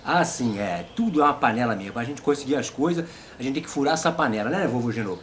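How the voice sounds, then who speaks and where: A man's voice: medium pitch, expressive, fast talker, a bit bright, slight background noise. A man in his fifties with a Brazilian accent, hosting a casual comic interview. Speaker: host and puppeteer.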